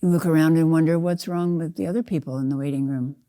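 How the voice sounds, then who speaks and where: Older woman with a very dark, mellow voice. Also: deep voice, steady tone. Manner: measured, deliberate, spoken in short phrases with pauses. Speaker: Zen teacher leading a guided meditation. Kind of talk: slow guided meditation narration.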